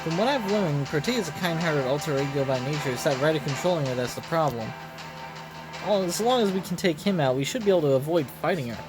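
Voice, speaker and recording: A polite, loyal servant from a video game, played by a man. The delivery is composed and courteous, calmly analytical, in a slightly high male voice with music clearly behind it. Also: quick pace, close to an everyday voice, poor audio. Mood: calm, assured.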